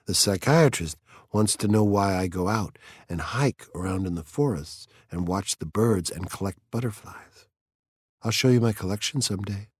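A terse, defensive fireman, uneasy and on guard, as a male reader plays him. The voice sits low and close, with a dry sound. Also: normal speed, husky, no room sound.

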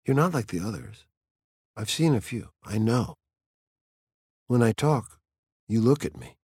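A man's voice: mid-range voice, big pitch swings, unhurried, a little mellow, breathy edge, no echo, studio quality. A man voicing a peculiar, dreamy teenage girl of nearly seventeen, whimsical and earnest in her chatter.

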